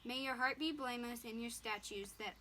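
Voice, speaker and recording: A woman's voice: a little high, a little fast, bright, forceful tone, a little hiss or hum. A woman reading a passage of scripture aloud. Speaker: reader.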